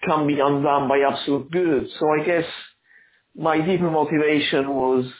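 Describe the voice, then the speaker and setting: A man who grew up in Argentina, an author answering interview questions in a halting, thoughtful way with many restarts. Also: fairly high pitch, fairly even intonation, phone-quality sound, a little hiss or hum.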